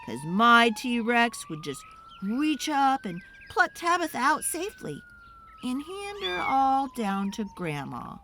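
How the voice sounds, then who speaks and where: Woman playing an excitable young kid, high lively voice, wheedling, dramatic storybook delivery, some background noise. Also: slow pace, full character voice. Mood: eager, boastful. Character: eager, pleading young child begging Dad for a pet. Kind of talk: children's storybook read-aloud performance.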